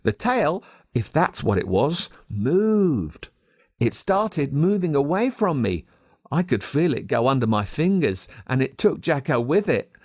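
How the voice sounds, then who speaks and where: An Australian man reading a scripted children's story as narrator, with dramatic, expressive delivery. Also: fairly high pitch, unhurried, no echo, clean studio sound. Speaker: audiobook narrator.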